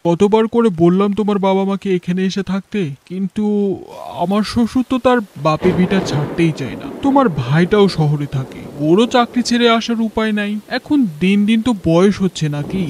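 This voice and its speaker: A man's voice: sits high, darkish tone. A man with a Bangladeshi accent playing a dutiful husband and son-in-law in a cartoon, earnest and reassuring. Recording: faint background noise.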